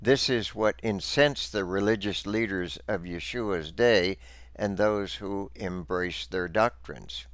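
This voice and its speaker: A man with a low, resonant voice narrates religious teaching from a script. His delivery is slow and deliberate, with a formal, didactic manner. The sound is clean and smooth. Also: no room sound.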